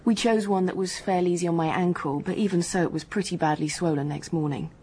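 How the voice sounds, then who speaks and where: An actress playing a young, guarded, matter-of-fact English dance hostess in a radio drama, speaking in a brisk, frank, colloquial way. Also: female voice, fairly low pitch, fairly even intonation, mellow, slight background noise, natural voice. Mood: defensive, frank. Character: hard-headed working-class hotel dance hostess, guarded, matter-of-fact.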